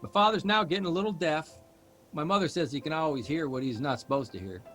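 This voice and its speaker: A man's voice: on the high side, fast talker, fairly bright tone, soft background music, lightly acted. A man playing a plain-spoken, reflective newspaper columnist in an understated, wry audio drama performance.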